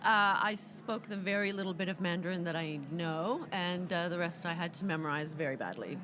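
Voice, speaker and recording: A woman's voice: fairly low pitch, a little fast, fairly bright tone, slight background noise. A woman raised in Canada and Australia, answering red carpet questions candidly and self-deprecatingly. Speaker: actress guest. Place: outdoor red carpet event.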